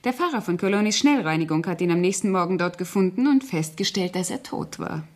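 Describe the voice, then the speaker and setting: A woman's voice: on the low side, animated, a little fast, lightly acted. An actress playing a cold, calculating woman in a German radio crime drama, speaking with precise, controlled command. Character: cold, calculating murderess posing as helpful household friend.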